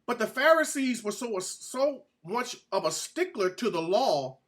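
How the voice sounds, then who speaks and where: A man's voice: very high voice, very animated, a little slow, fairly bright tone. A man giving an informal Bible-teaching sermon as a presenter, speaking emphatically, repeating phrases and putting questions to his listeners.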